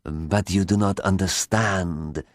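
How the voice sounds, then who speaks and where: A scheming storybook temptress, played by a man, low, husky and melancholy. She speaks in slow, silky phrases with a foreign accent, recorded very dry. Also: steady tone, dry recording. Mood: seductive, mysterious. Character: scheming, mysterious, melancholy woman with silky seductive manner.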